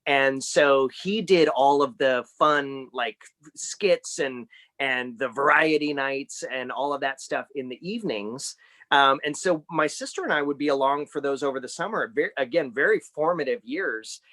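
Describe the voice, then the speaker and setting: A man's voice: mid-range voice, measured pace, fairly bright tone. A man from Ohio, a podcast guest, answering questions about his life in a friendly, rambling way. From Ohio, USA. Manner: friendly, rambling, restarts sentences. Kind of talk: casual interview chat.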